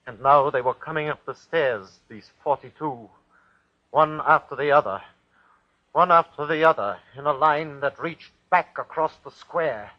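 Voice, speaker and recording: A man playing a cruel, haunted Nazi executioner who reports in a husky voice and obsessively repeats himself, in 1940s radio horror style. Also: fairly high pitch, unhurried, no room sound, close to an everyday voice. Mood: terrified, frantic. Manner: dramatic, haunted first-person reporting with obsessive repetition. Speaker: actor. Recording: dull, lo-fi old radio recording.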